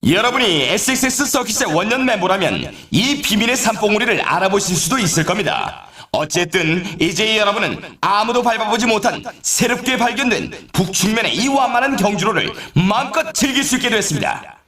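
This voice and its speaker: An actor voicing an excitable male snowboard race announcer in a video game, with a polished, showy scripted delivery. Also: sits high, brisk, reverberant. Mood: showy, hyped. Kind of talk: scripted game commentary, course introductions.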